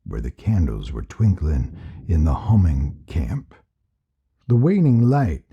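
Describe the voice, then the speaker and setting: Man putting on a very deep, husky Southwestern storyteller. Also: huge pitch swings, takes it slowly, mellow, muffled recording, heavily acted. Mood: theatrical, hushed drama. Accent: Southwestern United States, put on. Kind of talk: theatrical audiobook narration.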